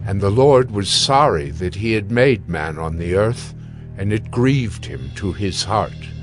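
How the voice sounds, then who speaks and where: A man narrating dramatized scripture from a script in a solemn, measured and formal manner. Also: fairly low pitch, animated. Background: music.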